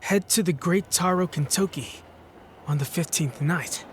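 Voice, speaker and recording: A man playing a troubled young psychic who narrates his story in a brooding, hushed, dramatic fan-dub style. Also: fairly high pitch, measured pace, slight background noise, natural voice. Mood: uneasy, pensive.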